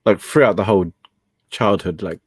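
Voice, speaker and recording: A man from England, whose was Cantonese. He hosts a casual talk show and speaks in a halting, reflective way, full of fillers. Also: sits low, slightly rough, no echo, studio quality. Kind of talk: casual conversation.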